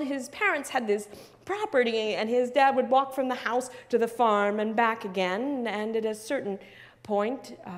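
A middle-aged woman pastor preaching a sermon in a large church sanctuary, earnest and measured, with repeated phrases. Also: on the high side. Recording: long church reverb.

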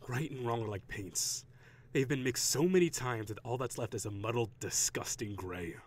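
A man voicing a proud, gruff tsundere dragon warrior in an audio roleplay, brusque and defensive with grudging sighs. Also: mid-range voice, moderate pace, natural voice. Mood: gruff, questioning.

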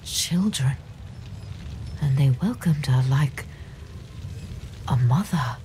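A man playing a weary, haunted wanderer in a dark fantasy game, speaking in a serious, halting, hushed way. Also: mid-range voice, expressive, a little hiss or hum. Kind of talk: dramatic video game cutscene acting.

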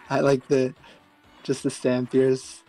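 A man co-hosting a live fighting-game stream, calmly explaining techniques and running the tournament. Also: mid-range voice, slow pace, soft background music, rough recording. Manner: even-toned, technical analysis. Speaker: stream co-host and tournament organizer.

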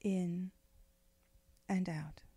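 A woman leading a guided meditation in a gentle, unhurried, soothing way, with phrases often trailing off into breath. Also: fairly low pitch, steady tone, slightly rough, no echo. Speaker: meditation guide, spiritual director.

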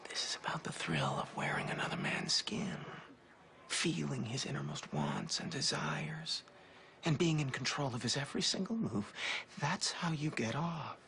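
A man playing a vain, menacing narcissist in a sitcom, with a creepy voice, grandiose and deliberately dramatic in delivery. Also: medium pitch, big pitch swings, fairly bright tone, a little hiss or hum. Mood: creepy, seductive. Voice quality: creepy voice, slipping into breathy whispers. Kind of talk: sitcom monologue acting.

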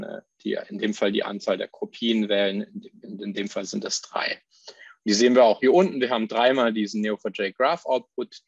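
A man presenting a live software demo, explaining each step as he clicks through it, with fillers and restarts. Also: on the low side, creaky, breathy edge, no echo, highs cut off.

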